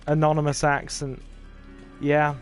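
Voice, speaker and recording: A laid-back English man talks his viewers through a game as he plays, easygoing and chatty. His voice sits a little higher than most men's, and soft game music plays faintly behind him. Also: completely flat delivery, measured pace. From England. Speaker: gaming streamer. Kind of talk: casual gameplay livestream commentary.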